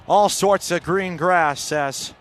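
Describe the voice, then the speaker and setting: A man with a fairly high-pitched voice gives live radio play-by-play of college football. He describes the action in short, clipped phrases. His delivery is built to rise with the big plays. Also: slow, a bit bright.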